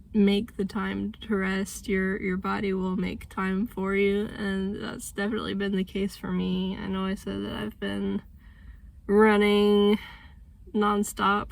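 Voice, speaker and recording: A woman's voice: mid-range voice, vocal fry. A woman in her thirties vlogging casually about her writing, sick and congested, with a voice that is going, rambling and thinking aloud. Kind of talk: casual vlog chat.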